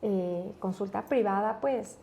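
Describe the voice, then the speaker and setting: A woman with an Ecuadorian accent telling family stories as an interview guest, earnest and animated, often restarting her sentences. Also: medium pitch, takes it slowly, creaky, slight background noise. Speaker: guest, business executive. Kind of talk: casual interview storytelling.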